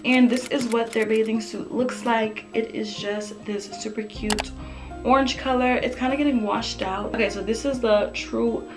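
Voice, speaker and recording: Chatty raspy-voiced young woman vlogger. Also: fairly high pitch, steady tone, moderate pace, over music. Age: young adult. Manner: chatty, upbeat, lots of fillers. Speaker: fashion vlogger. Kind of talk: casual try-on haul chat. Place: bedroom with photo-collage wall, indoors.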